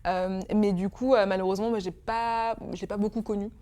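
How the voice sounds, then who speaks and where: A woman's voice: mid-range voice, fairly even intonation, brisk, mellow. A young woman in her twenties from Congo, speaking as a guest in an emotional interview, hesitant and full of fillers. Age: 20s. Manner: hesitant, many fillers and restarts.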